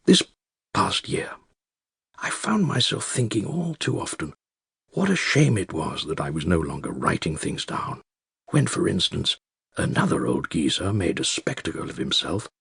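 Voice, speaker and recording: An actor playing an elderly male care-home resident with a British accent, narrating his secret diary in a dry, wry, measured and articulate way with a gravelly, husky voice. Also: low voice, a bit bright, no room sound, full character voice. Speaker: audiobook narrator. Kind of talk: scripted first-person diary narration.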